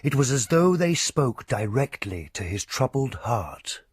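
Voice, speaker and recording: A man giving dramatic scripted narration in a hushed, ominous, deliberate manner. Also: mid-range voice, sing-song.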